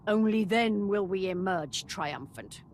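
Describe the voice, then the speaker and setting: An actress playing a proud, warlike empress in a video game, speaking formally and grandly. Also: female voice, medium pitch, animated, measured pace, slight background noise. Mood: bloodthirsty, triumphant. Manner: haughty, formal, declamatory. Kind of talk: cinematic video game voice acting.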